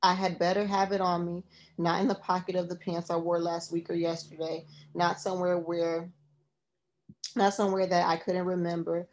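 A woman narrating a memoir as an audiobook, reading in a measured, even way. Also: on the low side.

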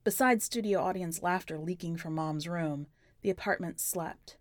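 A woman's voice: lowish voice, moderate pace, no room sound, clean studio sound. A woman reading a short story aloud as scripted, dramatic narration.

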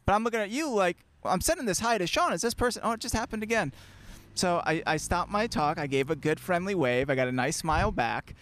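A man's voice: high-pitched, lively intonation, fast talker, fairly bright tone. A young man co-hosting a podcast, playful and jokey, chatting casually and swooping his voice up for effect. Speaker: podcast co-host. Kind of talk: casual banter. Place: outdoors.

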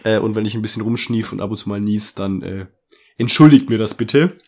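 Man with a cold, chatty and relaxed. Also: on the low side, fairly quick. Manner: chatty, with many fillers and laughs. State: has a cold. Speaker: podcast co-host. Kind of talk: casual book discussion.

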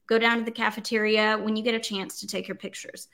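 A woman's voice: mid-range voice, little pitch movement, fast, vocal fry, a bit bright, low-quality recording. An enthusiastic, emphatic woman chatting casually as an instructional coach leading a livestream tutorial and answering comments.

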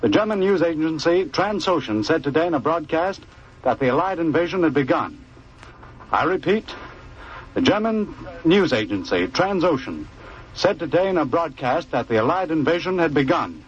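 Vintage radio bulletin, higher-pitched animated man. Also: normal speed. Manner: formal, emphatic. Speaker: radio news announcer. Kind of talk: 1940s radio news bulletin. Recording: dull vintage 1940s radio recording.

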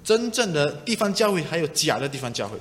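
A man interpreting a sermon live, speaking in brisk, short bursts that follow a preacher. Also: on the high side, sing-song, fairly bright tone, a little hiss or hum. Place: large reverberant room, interpreting live to a congregation. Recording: reverberant hall sound.

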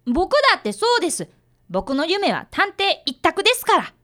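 Radio drama schoolboy played by a woman: polite, eager apprentice sleuth, high pitch, lively swings. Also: moderate pace, exaggerated voice. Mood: earnest. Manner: polite, earnest. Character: earnest polite fifth-grade boy, apprentice detective. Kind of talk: radio drama acting with first-person narration.

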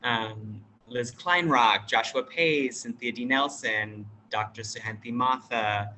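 Bright-voiced American educator, thinking aloud. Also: mid-range voice, slow, slight background noise, low-quality recording. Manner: thoughtful, hesitant, with many fillers and restarts. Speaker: teacher at an international school; workshop facilitator. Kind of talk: casual interview talk.

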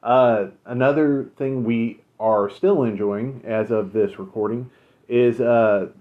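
A man co-hosting a podcast, chatting casually and recommending shows, relaxed with many fillers. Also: mid-range voice, slow. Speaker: podcast co-host. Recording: phone-like narrow sound.

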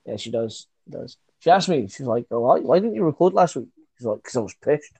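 A young man co-hosting a podcast, talking in excitable, sweary banter with frequent quick restarts. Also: medium pitch, gravelly, slightly rough, no room sound. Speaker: podcast co-host.

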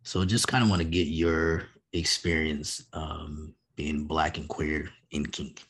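A man's voice: very deep voice, flat delivery, slow, a bit bright, slightly rough. A man hosting a podcast, asking careful, tentative questions. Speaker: podcast host. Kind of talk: interview questions.

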